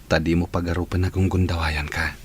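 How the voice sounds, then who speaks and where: Casting: man playing a sincere, apologetic sweetheart with a deep voice and Filipino accent, tender radio melodrama delivery. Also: little pitch movement, brisk, slight background noise, natural voice. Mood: apologetic, earnest. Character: earnest, devoted young male suitor, gentle and apologetic. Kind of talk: Filipino radio drama acting.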